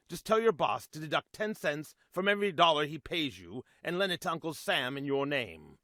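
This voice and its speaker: Dramatic 1940s radio orator, wide-ranging delivery. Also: male voice, on the high side, a bit bright, dry recording, studio quality. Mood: earnest, persuasive. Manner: rhetorical, emphatic, theatrical. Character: 1940s celebrity radio guest making grave wartime bond appeal. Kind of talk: 1940s radio acting, dramatic patriotic appeal.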